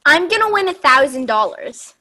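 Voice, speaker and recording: A woman's voice: high-pitched, very animated, moderate pace, bright, forceful tone, exaggerated voice. A woman acting an innocent, blunt, eager little schoolgirl daughter in a staged play reading. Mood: proudly eager. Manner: childlike, matter-of-fact.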